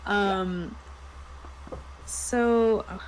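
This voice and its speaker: A woman's voice: medium pitch, steady tone, extremely slow, slight background noise, poor audio. A woman co-hosting a casual group discussion about tabletop roleplaying games. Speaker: show co-host. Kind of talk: casual chat.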